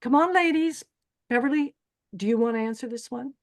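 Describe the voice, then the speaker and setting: A woman acting a bossy, menacing beauty pageant host in a screenplay table read, brisk and commanding. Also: fairly high pitch, close to an everyday voice. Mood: pressing, taunting.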